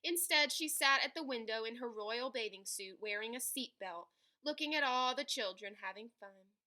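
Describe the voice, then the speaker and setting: Bright-voiced woman reading a picture book aloud. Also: fairly high pitch, normal speed. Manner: animated, dramatic, stretches key words for emphasis. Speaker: read-aloud storyteller.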